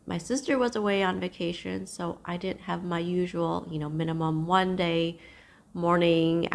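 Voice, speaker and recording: A young woman sits at home and chats to camera for her knitting podcast. She is hoarse from a cold, and her low voice has a gravelly, fry-heavy edge. She talks gently and takes her time, pausing as she thinks. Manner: gentle and unhurried, with thoughtful pauses. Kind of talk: casual chat to camera. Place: at home, seated.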